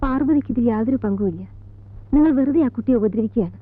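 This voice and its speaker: An actress playing a composed middle-aged college teacher in a film drama, speaking in a measured Malayali accent with deliberate pauses between short phrases. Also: female voice, fairly high pitch, no room sound, close to an everyday voice. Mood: firm, defensive. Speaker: actor in a film. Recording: tinny band-limited old film soundtrack, music behind.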